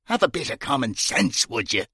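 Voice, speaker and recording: A man voicing a calm, cautious older bouncer who talks in a low, placating way. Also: normal speed, slightly rough, dry recording, clean studio sound, exaggerated voice.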